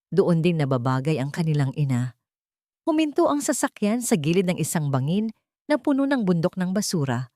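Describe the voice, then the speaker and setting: A woman narrating a dramatic story with steady scripted delivery. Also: on the low side, no echo, clean studio sound.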